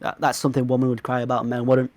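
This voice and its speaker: A man's voice: medium pitch, level tone, quick pace, a little mellow. A congested man with a blocked nose, arguing defensively in a heated online debate. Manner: defensive, argumentative, repeats "man". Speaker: debate guest.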